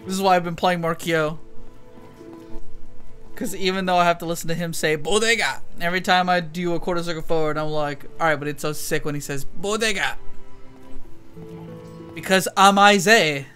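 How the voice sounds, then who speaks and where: A man's voice: fairly high pitch, moderate pace, long pauses, pushed and bright, faint music behind. A man chatting casually as he streams a game, informal and rambling, with loose swearing.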